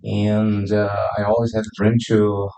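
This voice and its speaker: A man's voice: lowish voice, completely flat delivery, dark tone. Tired man introducing himself. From Brazil. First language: Portuguese. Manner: friendly, halting, with many uhs. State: tired from travel. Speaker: new student. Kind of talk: casual self-introduction. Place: small classroom.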